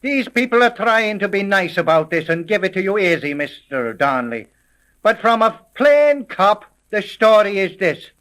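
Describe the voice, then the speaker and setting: Blustering, high-pitched police sergeant. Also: male voice, very animated, normal speed, a bit bright. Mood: blunt, insistent. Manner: blustering, folksy exclamations. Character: blunt, excitable plain cop, police sergeant. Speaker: actor. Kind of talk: 1930s radio acting. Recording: vintage 1930s radio recording.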